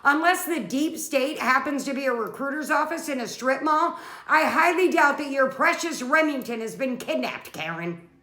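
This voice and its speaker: A woman's voice: sits high, expressive, normal speed, pushed and bright, roomy sound. A woman playing an exasperated office coworker with dry, withering, articulate sarcasm in a comedy skit. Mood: sarcastic.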